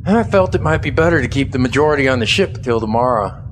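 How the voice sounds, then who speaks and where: A man's voice: mid-range voice, expressive, fast talker, background noise, lightly acted. An actor playing a dutiful, earnest army sergeant in a science fiction audio drama, respectful in his address. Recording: music behind the voice.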